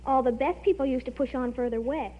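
A woman's voice: high-pitched, a little fast. A young woman playing a precocious, dramatic teenage girl in a 1940s radio comedy, earnest and indignant. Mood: scornful, pleading. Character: precocious, dramatic teenage girl, scornful of her ordinary family. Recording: muffled old radio broadcast.

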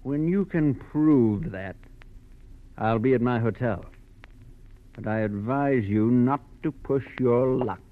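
An actor playing a suave, haughty older crime boss in a classic radio drama, formal, condescending and measured. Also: male voice, medium pitch, slight background noise, natural voice. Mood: smooth menace. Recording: muffled old radio recording.

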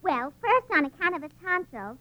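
A woman's voice: high voice, big pitch swings, moderate pace, fairly bright tone. A woman playing an earnest, bossy and naive tavern owner's daughter in 1940s radio comedy, matter-of-fact and scolding. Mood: earnest, planning.

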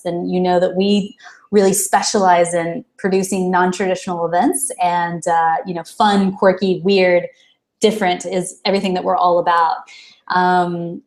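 A woman's voice: medium pitch, a little mellow. A woman in her thirties from Texas, chatting casually as an interview guest, full of ums and easy laughter. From Dallas, Texas. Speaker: guest, events company founder.

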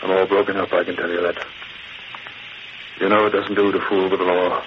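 An actor playing a smug, menacing gang boss in 1940s radio style, falsely jovial with oily mock innocence. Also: male voice, low voice, level tone, a little fast, natural voice. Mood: mock innocent. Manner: oily mock innocence, tough gangster slang. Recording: noisy muffled old radio recording.

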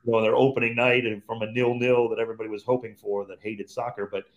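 A man's voice: medium pitch, little pitch movement, fast, highs cut off. A man in his fifties from Cleveland, a radio sports commentator, telling his career story as a podcast guest in an easygoing, reflective way. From Cleveland, Ohio, USA. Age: 50s. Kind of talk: relaxed storytelling in conversation.

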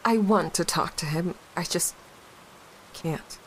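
Young widowed mom wrecked with guilt, halting n raw, audio drama, hiss behind her. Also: on the low side, poor audio. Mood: frustrated grief. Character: grieving, guilt-ridden young widowed mother, bitter and wounded. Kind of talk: naturalistic audio drama acting. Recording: clear background hiss.

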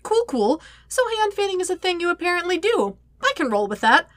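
A woman reader plays an awkward teenage boy from a fan fiction story, flustered and nervously rambling. The voice jumps up high and is bright, in a dry recording. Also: big pitch swings, a little fast, no echo, full character voice.

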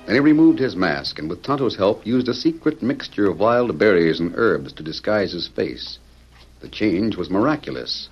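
A man's voice: lowish voice, lively intonation, normal speed, a little hiss or hum, natural voice. An American man narrating a western radio serial in a formal, dramatic storytelling style. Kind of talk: old-time radio scripted narration. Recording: muffled vintage radio recording.

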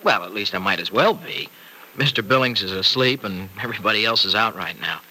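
An actor playing a chatty, teasing young farmer in a 1940s-style radio soap opera, on a noisy old recording. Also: male voice, on the low side, animated, brisk, bright, forceful tone, husky, heavily acted. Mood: teasing, friendly.

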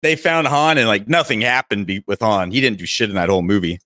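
A man's voice: lowish voice, fast talker, pushed and bright, dry recording, studio quality. A man co-hosting a fan podcast, talking casually in an informal, joking way. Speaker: podcast co-host.

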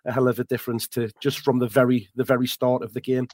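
A man with a hoarse, croaky voice, talking in a halting, earnest way as a podcast co-host. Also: mid-range voice, little pitch movement, a little mellow, dry recording. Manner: halting, many restarts, earnest. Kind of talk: casual chat.

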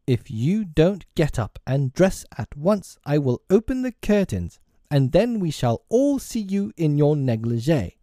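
Casting: stern, commanding boy chief played by a man, sharp and clipped, storybook read, animated pitch, dry sound. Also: unhurried, few pauses, dry recording, close to an everyday voice. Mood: stern threat. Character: bossy, stern boy leader, cold and commanding. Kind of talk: children's storybook narration.

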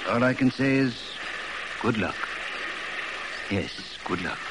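Casting: a hearty harbor pilot, theatrical and swooping, old-time radio with plenty of hiss. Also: male voice, mid-range voice, lively intonation, takes it slowly. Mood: brisk, earnest. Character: jovial Irish-proud harbor pilot, hearty old hand. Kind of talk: 1940s-set classic radio drama acting. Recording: noisy old radio drama recording.

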